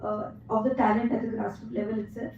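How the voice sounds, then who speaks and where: An Indian woman from Mumbai giving an energetic conference talk to physiotherapists, her voice partly lost, often pausing with "uh.". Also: fairly high pitch, vocal fry, slight background noise. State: losing her voice. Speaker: guest speaker at a physiotherapy event. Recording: echoey hall, distant dull mic.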